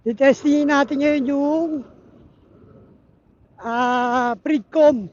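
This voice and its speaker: A Filipino motovlogger talking casually and haltingly while riding a motorcycle, speaking through a helmet intercom. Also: high voice, fairly even intonation. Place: on a motorcycle outdoors in traffic. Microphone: Bluetooth helmet intercom microphone. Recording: muffled helmet intercom with loud road noise. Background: vehicle and road noise.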